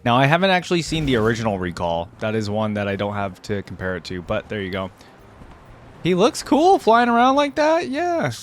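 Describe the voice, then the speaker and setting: A man's voice: mid-range voice, wildly swooping pitch, a little fast, slight background noise. A man hosting a reaction video, talking in a casual, unscripted, rambling conversational way. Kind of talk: casual, unscripted reaction commentary to camera or screen.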